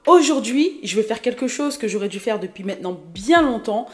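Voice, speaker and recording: A woman's voice: fairly high pitch, expressive, a little fast. A woman in her thirties from Haiti, chatting casually and self-mockingly to her viewers as she introduces herself. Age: 30s. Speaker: YouTube vlogger.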